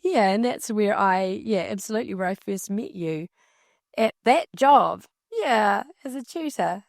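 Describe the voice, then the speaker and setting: A woman interpreting a signer's casual story aloud, in halting, conversational phrases. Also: medium pitch, lively intonation, gravelly, no room sound, studio quality. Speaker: sign language interpreter voicing a signer.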